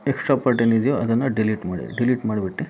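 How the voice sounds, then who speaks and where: Male tutorial host, mid pitch, instructional and repetitive, narrow phone-like sound. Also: racing. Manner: instructional, repeats each step. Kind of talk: step-by-step app tutorial voice-over. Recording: tinny phone-like band, faint noise.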